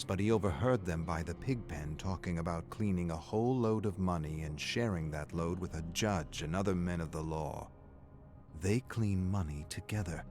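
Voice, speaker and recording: A man reading a scripted dark story as a narrator, in a measured, grave and brooding manner. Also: low voice. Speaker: narrator of game lore readings.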